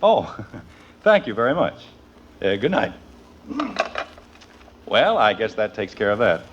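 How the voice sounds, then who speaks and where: A middle-aged American father in an old radio comedy. He is dry and wry, with a very animated pitch. The recording is dull and faintly hissy. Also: slow. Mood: resigned. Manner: dry, sarcastic. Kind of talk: vintage radio sitcom acting.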